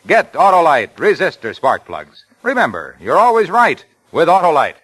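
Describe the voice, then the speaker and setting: A man playing a peppy, emphatic American pitchman in a vintage radio commercial. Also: huge pitch swings, normal speed, a bit bright, husky, faint music behind, exaggerated voice. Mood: upbeat. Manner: emphatic, salesy. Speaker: commercial announcer. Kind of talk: 1950s radio advertising.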